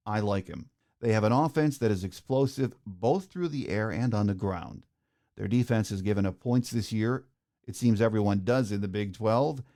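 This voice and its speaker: A man's voice: on the low side, warm tone. An American man hosting a sports podcast, moving between scripted introduction and interview talk. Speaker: podcast host.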